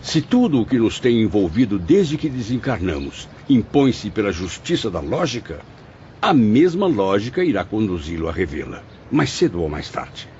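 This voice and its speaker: A man playing a spirit who narrates and reasons consolingly with a friend, theatrical and measured, in a dramatized audiobook. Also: on the low side, big pitch swings, warm tone, a little hiss or hum. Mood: consoling reasoning. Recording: dull lo-fi audio with music behind.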